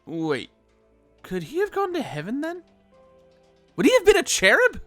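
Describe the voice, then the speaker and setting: A high-voiced man delivers opinionated fan commentary with big, lively swings in pitch. He talks casually and swears freely, as a co-host would, over light background music. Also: normal speed, no room sound. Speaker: co-host of a fan recap show. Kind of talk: animated fan commentary with ranting.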